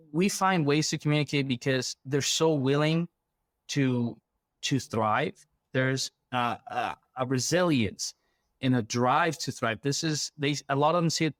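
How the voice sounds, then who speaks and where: A man's voice: medium pitch, no room sound, clean studio sound. A man from Ecuador explaining things conversationally as an interview guest, often checking in with the listener. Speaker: interview guest, refugee program worker.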